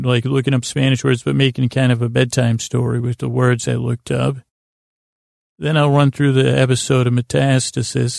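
A man hosting a bedtime podcast in a gravelly, creaky voice, meandering and halting, often doubling back on himself. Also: medium pitch, a little mellow, no echo, studio quality. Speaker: podcast host. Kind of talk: rambling bedtime sleep podcast monologue.

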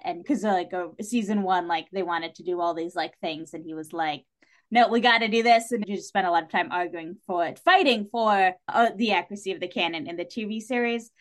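A woman speaking as a podcast guest in casual discussion, telling stories in an animated way with lots of "like" and acted-out reactions. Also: medium pitch.

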